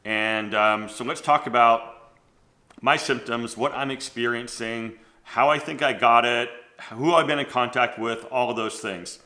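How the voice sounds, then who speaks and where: Stuffy nosed guy in his 40s rambling to camera, bright voice, echoey room, lots of "like". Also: mid-range voice, unhurried. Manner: rambling, self-interrupting, lots of "like". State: stuffy nose from a cold. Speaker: fashion channel host. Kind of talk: casual vlog chat.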